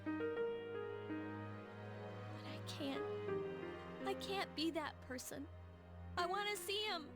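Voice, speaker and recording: A woman's voice: very high voice, slow pace, exaggerated voice. A woman voicing a grieving, stubborn teenage girl in a video game, impassioned and pleading. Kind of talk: dramatic video game voice acting. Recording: game audio with loud music. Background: music.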